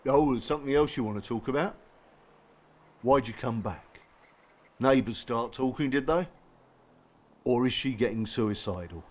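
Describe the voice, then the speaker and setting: An actor playing a blunt, bruised working-class support group regular in a radio drama, colloquial, sardonic and probing. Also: male voice, mid-range voice, normal speed, long pauses, lightly acted. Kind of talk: naturalistic radio drama acting.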